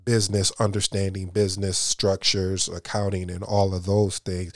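A man hosting a motivational podcast, talking straight to listeners in a mellow radio voice, calling them family and circling back over his points. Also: low-pitched, flat delivery, moderate pace, no room sound, clean studio sound. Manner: preachy, addresses listeners as family, repeats himself. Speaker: podcast host.

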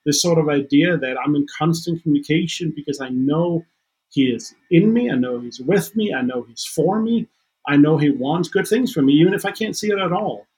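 Earnest male guest, Danish native speaker, husky, slightly high, halting delivery. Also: a little mellow, poor audio. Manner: earnest, halting with repeated restarts. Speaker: guest counselor. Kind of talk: reflective faith conversation.

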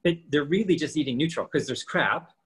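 A man's voice: on the high side, animated, a little fast, slightly rough. A man in his fifties co-hosting a casual podcast, explaining training and nutrition with lots of rhetorical questions. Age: 50s.

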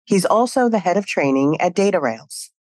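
Low-voiced woman podcast host. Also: lively intonation, a little mellow, no room sound. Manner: measured, reads guest introduction. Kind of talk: scripted intro and interview questions.

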